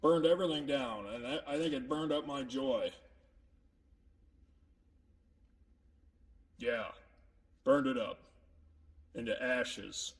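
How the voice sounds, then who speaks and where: A man voicing a sad, subdued puppet who speaks in a glum, halting way and trails off. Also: medium pitch, far from the mic, lightly acted. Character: sad, subdued forest-fire survivor puppet named Mike. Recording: echoey hall with long reverb.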